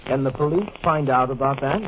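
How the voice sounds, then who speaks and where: An American actor playing a cool, calculating lawyer friend in a 1940s radio mystery, questioning and instructing in terse, deliberate phrases. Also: male voice, medium pitch, background noise, lightly acted. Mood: probing. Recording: old 1940s radio broadcast.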